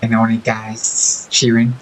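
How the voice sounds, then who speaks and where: A man's voice: mid-range voice, monotone, a little slow, slight background noise, rough recording. A man giving casual live commentary as he streams a video game. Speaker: game livestreamer.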